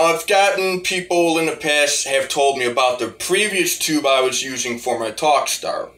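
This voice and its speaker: A man giving a casual, rambling demo of music gear, halting and repeating his phrases as he goes. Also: mid-range voice, sharp tone. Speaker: hobbyist musician reviewing talk box gear.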